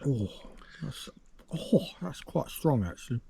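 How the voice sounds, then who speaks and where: An English man with a gravelly voice chats through a drink review at a woodland campsite. He talks in measured, roundabout sentences full of restarts. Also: mid-range voice, sing-song, dark tone, a little hiss or hum. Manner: measured, explains at length with many restarts. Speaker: vlogger host. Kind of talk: casual outdoor review chat. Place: outdoors in woods at a campsite.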